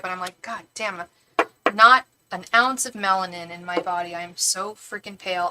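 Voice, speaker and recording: Bright-voiced woman chatting casually on a live stream. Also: medium pitch, low-quality recording. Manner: rambling, effusive, girlfriend-like familiarity. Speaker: tarot reader hosting a live stream.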